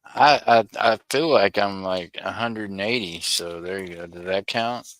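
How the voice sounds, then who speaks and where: Man, forties, low bright voice, rambling live-stream chat, dry close sound. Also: unhurried, dry recording. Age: 40s. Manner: rambling, chatty, talks to viewers by name. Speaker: coin roll hunter streaming live.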